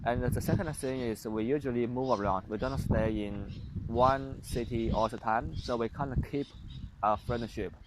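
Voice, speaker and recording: Young male vlogger, Chinese accent, hesitant and unpolished, slight background hiss. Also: mid-range voice. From China. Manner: halting, many fillers and restarts. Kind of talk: casual monologue to camera.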